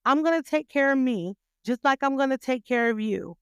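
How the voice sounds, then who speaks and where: A woman's voice: high voice, animated, moderate pace, clear, resonant tone, no room sound, clean studio sound. A woman hosting a podcast, giving a motivational talk full of rhetorical questions and repeated phrases, with a voice she calls graceful yet stern. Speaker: podcast host.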